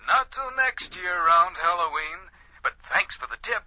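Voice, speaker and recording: Old-radio ghost: high, slow, tired and plaintive, mild-mannered comic spook. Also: male voice, expressive, dry recording. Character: tired, hungry, mild-mannered Halloween ghost named Pete. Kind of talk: 1940s radio advertising skit. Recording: muffled, lo-fi 1940s radio recording.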